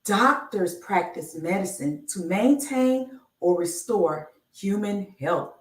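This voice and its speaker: A woman reading a children's picture book aloud on a live stream, enunciating deliberately like a teacher. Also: medium pitch, lively intonation. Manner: slow, deliberate enunciation with teacherly announcements of each letter. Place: indoor room, live stream. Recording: roomy, echoey, distant microphone.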